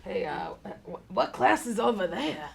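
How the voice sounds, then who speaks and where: A woman's voice: mid-range voice, big pitch swings, a little slow, creaky, a crowd in the background, exaggerated voice. A woman playing an awkward, kindhearted divorced bar owner in his fifties, hesitant and stammering, in a live comedy table read. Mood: awkward, nervous. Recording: live stage reading before an audience.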